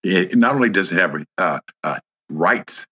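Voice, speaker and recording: Deep-voiced guy, really animated and bright, kinda husky, muffled lo-fi line. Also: a little slow. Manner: animated, enthusiastic, gestures while talking. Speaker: podcast guest. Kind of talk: casual podcast chat.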